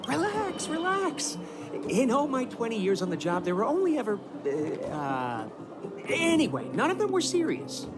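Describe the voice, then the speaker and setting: A man's voice: very high-pitched, wildly swooping pitch, normal speed, exaggerated voice. A man voicing a chatty, eager veteran cable car operator in a video game, theatrical and easily flustered. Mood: flustered reassurance. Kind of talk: video game cutscene acting. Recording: music behind the voice.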